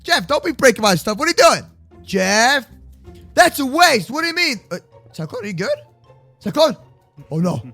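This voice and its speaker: A man chattering excitably through an improvised game roleplay, stammering and repeating himself, with lots of slangy bros. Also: very high voice, moderate pace, gravelly, pauses often, faint music behind. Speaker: gaming video host, playing in a Minecraft roleplay.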